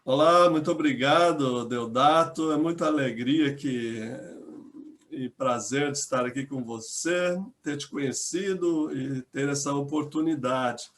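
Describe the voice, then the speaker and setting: An older man from São Paulo with a paulistano accent, a theologian and pastor answering interview questions in a measured way, pausing and restarting often. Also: mid-range voice, fairly bright tone. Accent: Paulistano Brazilian Portuguese. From São Paulo, Brazil. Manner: measured, with frequent hesitations and restarts.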